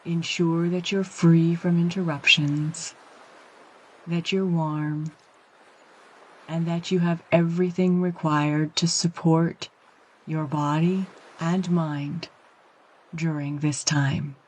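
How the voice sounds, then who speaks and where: A woman guiding a yoga nidra meditation, speaking in a calm, gentle, soothing way with measured phrases and long pauses. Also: sits low, breathy edge, poor audio. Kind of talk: guided meditation, slow and soothing instruction.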